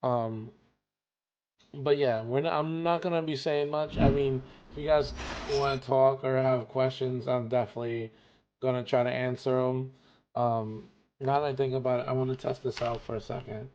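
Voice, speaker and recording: A man's voice: medium pitch, fairly even intonation, normal speed, darkish tone, dull audio, slight background noise. A man streaming games who is losing his voice, chatting casually with viewers and rambling with many fillers. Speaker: gaming streamer.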